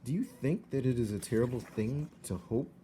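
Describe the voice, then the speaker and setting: A man, a teacher, reading a children's novel aloud in a steady, expressive voice. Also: medium pitch, normal speed, a little hiss or hum. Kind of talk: read-aloud storybook narration.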